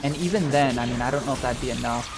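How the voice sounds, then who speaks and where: A man's voice: mid-range voice, racing. A young man giving casual, rambling, conversational gameplay commentary as a streamer. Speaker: game streamer. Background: game music.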